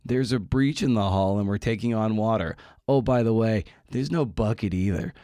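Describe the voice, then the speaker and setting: A man's voice: fairly low pitch, a little mellow, no echo, studio quality. A middle-aged man hosting a podcast in a casual style, with a wry, self-deprecating manner. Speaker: podcast host. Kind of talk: casual podcast monologue and interview.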